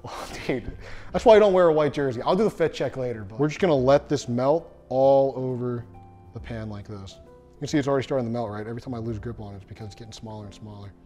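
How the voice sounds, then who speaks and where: Young male host, casual and self-deprecating, mid-pitch, kitchen demo. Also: warm tone. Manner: chatty, self-deprecating, addresses viewers as guys. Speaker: cooking show host. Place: home kitchen.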